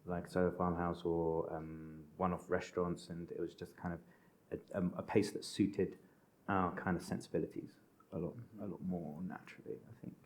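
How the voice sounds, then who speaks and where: Low-voiced man architect on a panel. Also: a little mellow. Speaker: architect and interior designer. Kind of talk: panel discussion. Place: stage at a design event.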